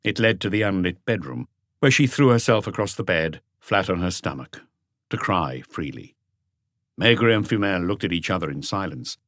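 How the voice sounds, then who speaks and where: A man reading scripted literary narration as an audiobook narrator, with a measured storytelling delivery. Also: low voice, expressive, a bit bright, no echo, clean studio sound.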